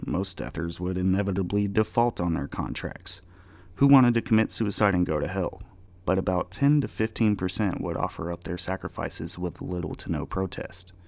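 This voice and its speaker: A male narrator reads a story aloud as a dramatic audiobook. His voice is low and creaky, with noticeable fry on the words. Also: moderate pace, darkish tone. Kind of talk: dramatic reading of scripted fiction.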